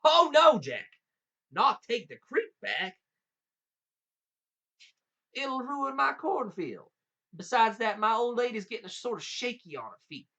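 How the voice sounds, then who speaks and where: A man voicing a big, gullible old giant in a folktale, with an Appalachian accent and folksy, drawn-out protests. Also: extremely high pitch, sing-song, sharp tone, highs cut off, voice pushed up, heavily acted. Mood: alarmed. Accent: Appalachian American.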